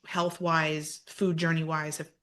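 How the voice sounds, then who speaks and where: A woman's voice: low voice, little pitch movement, takes it slowly, fairly bright tone. A woman in her late thirties co-hosting a casual podcast chat, agreeing readily and telling stories with playful drama. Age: 30s. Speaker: podcast co-host.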